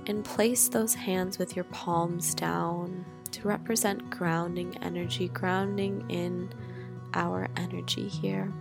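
A woman leading a guided meditation in a soothing, gentle way, with drawn-out phrases and pauses. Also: lowish voice, little pitch movement, gravelly. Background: guitar music.

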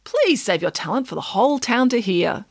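A woman voicing a sly male rat in a storybook, talking in a hurried, flustered way. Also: fairly high pitch, very animated, husky, exaggerated voice.

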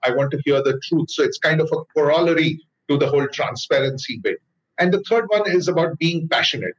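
A middle-aged man with an Indian accent, a business leader answering interview questions in a measured way, often pausing with "uh". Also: on the high side, rough recording. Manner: thoughtful, with frequent "uh" pauses.

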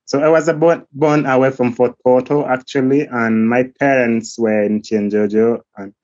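A young man with a Ugandan accent, speaking earnestly and slowly as an interview guest, often pausing to search for words. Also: medium pitch, steady tone, darkish tone, dry recording. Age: young adult. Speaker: guest, golf academy founder. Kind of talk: remote interview. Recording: dull lo-fi line, faint music.

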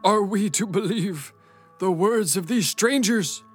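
An actor playing a grumpy, imposing old moose councilor who scolds in a stern, formal, accusing way for a children's audio drama. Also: male voice, high voice, unhurried, faint music behind.

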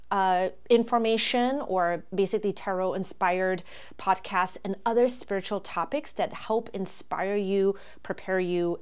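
A woman in her forties from Germany hosts a solo podcast on astrology. Her voice is smooth and clear at a middle pitch. She explains things calmly and fills her pauses with plenty of ums. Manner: calm, explanatory, with frequent ums.

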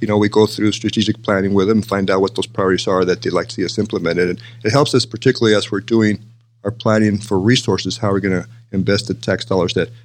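A man's voice: on the low side, steady tone, fast, slight background noise. An older man from Texas answering interview questions in a conversational, explanatory way, often checking in with "right?" and "you know". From El Paso, Texas, United States. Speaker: interview guest, city manager.

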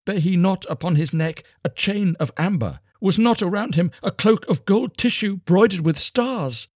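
Storybook beggar woman, played by a man: raised pitch, emphatic, desperate pleading, old-fashioned wording. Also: animated, a little slow, darkish tone, no room sound, clean studio sound. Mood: urgent, anxious. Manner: archaic, imploring, emphatic speech. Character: ragged beggar woman, long-lost mother, anguished and pleading. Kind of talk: dramatised storybook reading.

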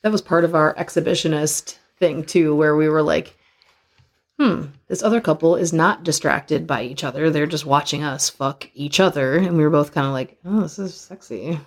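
A young adult woman co-hosting a podcast, chatting frankly and laughing often, her voice hoarse from a tiring weekend. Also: sits low, moderate pace. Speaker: podcast co-host. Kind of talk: casual chat.

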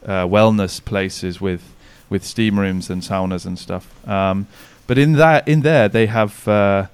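Chatty man with a low, smooth voice. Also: takes it slowly. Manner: chatty, rambling, many restarts and fillers. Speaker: podcast guest, sauna business owner. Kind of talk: casual podcast interview. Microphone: remote recording call.

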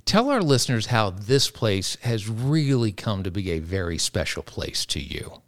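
A man hosts a podcast interview with a fairly low voice. He speaks in an encouraging way and asks his guest open questions. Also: big pitch swings, moderate pace. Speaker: podcast host.

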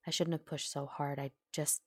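A woman voicing a gentle, caring young man who speaks softly and in a measured way, in a dramatic story reading. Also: low-pitched, flat delivery, breathy edge, dry recording. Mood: soothing. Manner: soft, measured, probing.

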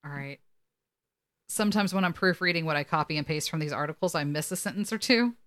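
A woman's voice: deep voice, quick pace, a bit bright, a little hiss or hum. A woman co-hosting a casual podcast, reading articles aloud and dropping in wry asides. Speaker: podcast co-host.

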